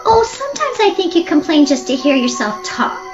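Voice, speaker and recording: A woman's voice: extremely high pitch, big pitch swings, a little fast, music in the background. A woman playing an earnest, easily spooked sister who chides and scolds in an audio drama. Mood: chiding. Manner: chiding. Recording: dull lo-fi audio, faint noise.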